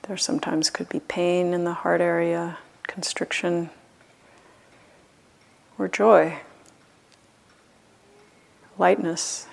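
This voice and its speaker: A woman meditation teacher leading a guided meditation, speaking gently and unhurriedly with long pauses. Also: lowish voice, level tone, creaky. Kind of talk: guided loving-kindness meditation.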